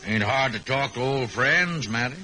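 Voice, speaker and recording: An actor playing a bold, folksy American sheriff of the Old West in a radio drama, clipped and confrontational. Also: male voice, medium pitch, animated, moderate pace, bright, forceful tone, soft background music. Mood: cool, pressing. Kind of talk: 1950s radio drama acting. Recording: hissy, noisy vintage radio recording.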